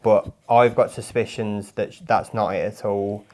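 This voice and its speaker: A man making a prank call, speaking in a deadpan, rambling and hesitant mock-earnest way. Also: lowish voice, moderate pace, slight background noise. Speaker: prank caller. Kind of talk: mock-earnest phone conversation.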